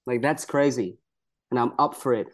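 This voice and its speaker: A man's voice: mid-range voice, moderate pace. A young man from Malaysia, a pro wrestler, answering interview questions in an earnest, proud way, with many "you know" fillers.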